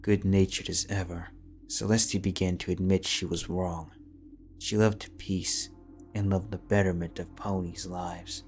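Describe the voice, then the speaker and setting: A man narrating a fantasy fanfiction audiobook in a measured, solemn manner. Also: deep voice, level tone. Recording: soft background music.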